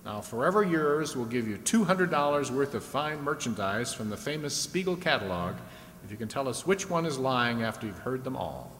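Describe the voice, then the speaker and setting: A man's voice: mid-range voice, very animated, moderate pace, fairly bright tone. A man playing a genial, talkative 1950s radio game show host with folksy showman's patter. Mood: genial, explaining. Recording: reverberant hall with faint noise.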